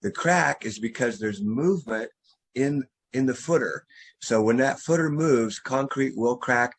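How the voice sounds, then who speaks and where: A man's voice: mid-range voice, animated, measured pace, husky. A man, a drainage contractor, who is losing his voice, talks a homeowner through materials on a video call, explaining step by step. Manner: instructive, restarts and fillers, explains step by step. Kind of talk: remote consultation walkthrough.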